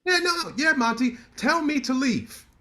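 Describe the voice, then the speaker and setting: An actor playing a brash, ambitious lawyer who talks with cocky sarcasm in a staged play reading. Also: male voice, very high-pitched, expressive, moderate pace, pushed and bright, heavily acted.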